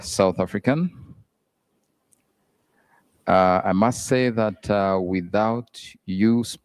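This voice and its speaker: Male emcee, very low voice, slow delivery, dry sound, formal with pauses. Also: darkish tone, no echo. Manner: formal, hesitant with fillers. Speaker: master of ceremonies. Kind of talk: emcee announcements. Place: event hall.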